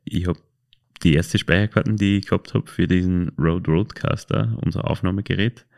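A man with an Austrian accent and a low-pitched voice talks as a podcast host. He speaks casually and colloquially, feeling for his words and often correcting himself as he goes. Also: steady tone, a little fast, vocal fry, darkish tone. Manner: hesitant, self-correcting, colloquial. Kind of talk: casual podcast chat, telling anecdotes.

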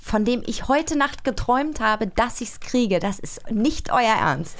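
A woman's voice: a little high, lively intonation, measured pace. A woman co-hosting in casual chat, sometimes reading short notes aloud. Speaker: co-host.

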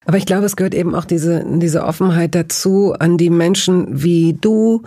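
Woman, podcast host, mellow dark voice, reflective, casual interview. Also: fairly low pitch, steady tone, normal speed, dry recording, studio quality.

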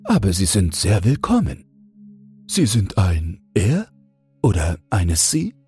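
A man's voice: low-pitched, huge pitch swings, slow, a little mellow, husky, soft background music, voice pushed up, exaggerated voice. A man voicing polite, welcoming pond frogs in a fairy tale, speaking with formal courtesy. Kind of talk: fairy tale audiobook character voice.

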